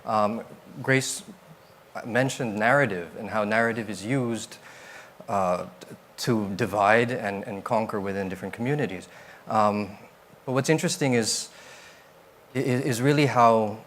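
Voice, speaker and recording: A man's voice: lowish voice, a little slow, a bit bright, a little hiss or hum. A man speaking as a panelist, reflective and searching for words. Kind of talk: panel discussion.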